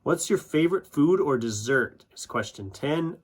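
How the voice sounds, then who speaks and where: A man's voice: fairly high pitch, animated, moderate pace, husky. A man from Iowa, chatting informally as a vlog host with self-deprecating asides. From United States, Iowa. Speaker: YouTube book channel host. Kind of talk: casual chatty vlog, answering questions.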